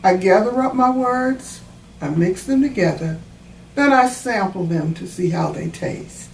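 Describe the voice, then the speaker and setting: Woman as a thoughtful, measured storybook grandmother, roomy sound. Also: mid-range voice, expressive. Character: thoughtful, wise grandmother who loves cooking, gently instructive. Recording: roomy, distant mic, faint noise.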